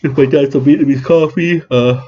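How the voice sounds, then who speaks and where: A man's voice: mid-range voice, fairly even intonation, fairly quick, dark tone, highs cut off. A man with a scratchy voice, rambling through a casual vlog with many restarts and fillers. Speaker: vlogger.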